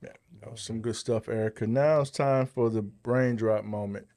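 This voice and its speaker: A man's voice: on the low side, fairly even intonation, moderate pace, warm tone. A man co-hosting a podcast, giving a motivational talk and repeating key phrases for emphasis. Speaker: podcast host, motivational speaker.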